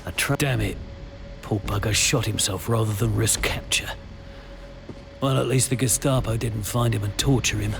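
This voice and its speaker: An actor playing a gruff, wry wartime special agent with a British accent, laconic and understated in a video game. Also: male voice, on the low side, fairly even intonation, moderate pace, noisy background. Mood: grim. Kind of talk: low-key video game acting, muttered narration.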